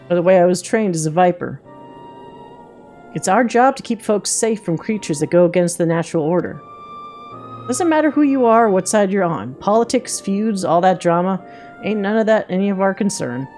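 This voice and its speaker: A woman's voice: medium pitch, animated, normal speed, a little mellow, faint music behind, lightly acted. A woman voicing a plainspoken, protective female hunter from a video game, with folksy, homespun grammar. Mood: steady, protective. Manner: folksy drawling diction, dropped grammar.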